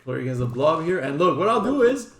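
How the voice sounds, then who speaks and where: A man's voice: fairly high pitch, very animated, brisk, soft background music. French-speaking man, echoey gaming commentary. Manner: informal, chatty, occasional swearing. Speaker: gaming streamer. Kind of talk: casual gameplay guide commentary. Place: indoors at a computer. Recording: roomy echo, faint background noise.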